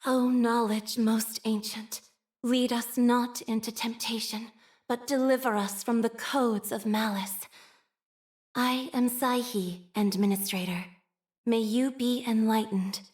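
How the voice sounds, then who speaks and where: A woman voicing a devout, shy young priestess in a video game, speaking formally and with measured, prayer-like phrasing and a machine-minded reserve. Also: on the high side, fairly even intonation, darkish tone. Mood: solemn, reverent. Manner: formal, measured, liturgical phrasing with hesitant shyness. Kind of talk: scripted game character voice lines.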